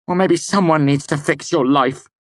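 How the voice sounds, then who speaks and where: A man voicing a proud, hot-tempered young man in an audiobook, shouting biting words. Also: fairly low pitch, level tone, slow pace, slightly rough, no echo, full character voice.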